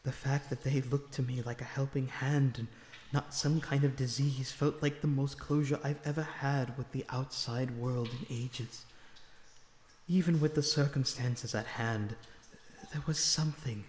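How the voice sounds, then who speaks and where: A man playing a lonesome, remorseful, gentle vampire prisoner in an audio roleplay, speaking in an earnest, halting, emotional way. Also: mid-range voice, fairly even intonation, close to an everyday voice. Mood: sorrowful, remorseful. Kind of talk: dramatic audio roleplay acting. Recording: echoey reverberant dungeon-like sound, background noise.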